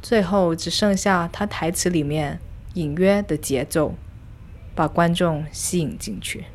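A woman narrating a scripted first-person arts audio log in a reflective, measured, literary manner. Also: on the low side, a little hiss or hum. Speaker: host. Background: music.

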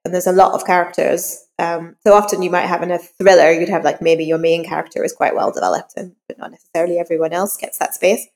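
A woman's voice: fairly low pitch, steady tone, fast, hardly any pauses, darkish tone. A woman novelist being interviewed, explaining her craft in an informal, conversational way.

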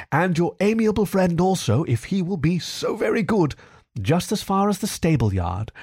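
A man voicing a boastful, excitable male toad from a storybook, with a pompous, gushing, theatrical manner. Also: mid-range voice, dry recording, clean studio sound, close to an everyday voice. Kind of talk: storybook character acting.

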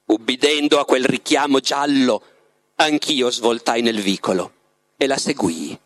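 A man's voice: medium pitch, a little slow, a bit bright, no echo, pitched below the performer's own voice, natural voice. A man voicing a lovestruck, tormented writer confiding his story in a dramatic literary reading, turning to his listener with rhetorical questions. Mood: wistful, confiding. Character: lovestruck, tormented male writer in a psychiatric clinic.

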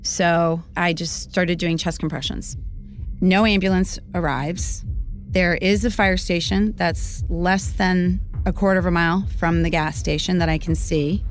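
A woman's voice: on the low side, steady tone, a little slow, faint music behind. A woman, a nurse, recounting a frightening event in an interview, telling it vividly with quoted dialogue. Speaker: nurse and witness.

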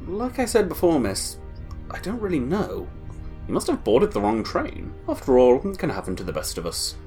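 A man's voice: mid-range voice, moderate pace, soft background music. An actor playing a polite, unflappable male conductor of a time-travelling train in an audio drama. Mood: courteous. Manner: courteous, formal, lively rising tones.

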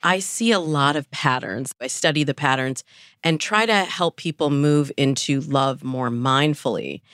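A woman giving articulate, measured explanations as a dating coach in a podcast interview. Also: sits low, a bit bright, no echo, clean studio sound.

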